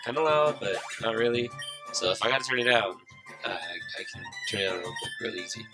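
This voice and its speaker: A man's voice: fairly low pitch, expressive, fairly quick. Stuffy-nosed young man joking over game music. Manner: loose, joking and profane, addresses viewers directly. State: slightly stuffed up and nasal from a cold. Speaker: gaming YouTuber. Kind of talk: casual Let's Play commentary. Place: small room lit by a side window. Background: game music underneath.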